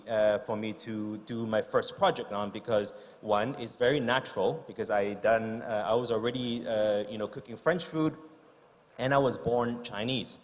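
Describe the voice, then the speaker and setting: A middle-aged man from Hong Kong, a chef giving an unscripted speech on a conference stage, full of fillers and restarts. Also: mid-range voice. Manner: hesitant, many fillers and restarts. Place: large conference hall stage. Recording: long hall reverb.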